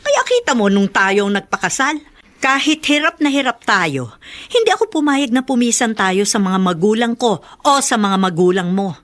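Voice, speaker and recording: A woman's voice: medium pitch, sing-song, normal speed, fairly bright tone. An actress playing a chatty, opinionated middle-aged Filipino mother in a radio drama. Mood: fussing, opinionated. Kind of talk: Tagalog radio drama acting.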